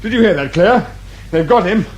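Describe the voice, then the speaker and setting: A man's voice: sits high, lively intonation, moderate pace, low-quality recording. An actor playing an insistent, overwrought bereaved father in a British radio drama. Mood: agitated, insistent. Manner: insistent, forceful.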